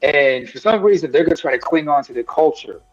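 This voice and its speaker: A man's voice: a little high, lively intonation, brisk, dry recording, highs cut off, faint music behind. A man co-hosting a live stream, talking earnestly in long, rambling thoughts full of fillers. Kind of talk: casual discussion.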